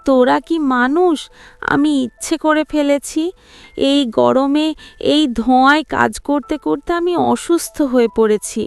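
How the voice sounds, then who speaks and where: A woman's voice: sits high, a little slow, vocal fry, no room sound, soft background music. A woman voicing an aggrieved, overworked eldest daughter-in-law in a dramatic cartoon. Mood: wounded anger.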